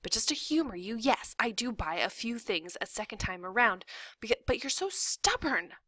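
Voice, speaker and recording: A woman's voice: fairly high pitch, big pitch swings, normal speed, bright, forceful tone, no room sound, pitched above the performer's own voice, exaggerated voice. A woman voicing an exasperated, firm mother in a story, speaking sternly and emphatically. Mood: firm explaining.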